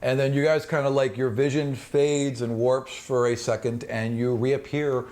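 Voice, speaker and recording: A man's voice: medium pitch, level tone, moderate pace, a little mellow. A man working as game master, casually narrating a tabletop fantasy game.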